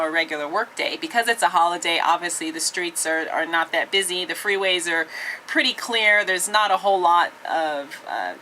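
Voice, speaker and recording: Bright-voiced woman radio traffic reporter. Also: on the low side, rough recording. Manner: easygoing, halting with frequent ums and uhs. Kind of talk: casual vlog chat with an on-air traffic read. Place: radio station office and studios, indoors.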